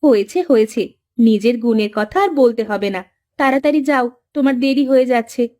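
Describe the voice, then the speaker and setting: A woman's voice: on the high side, moderate pace, mellow, lightly acted. A woman playing a teasing, caring village housewife in a Bengali cartoon. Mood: mock scolding. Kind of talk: cartoon story dialogue.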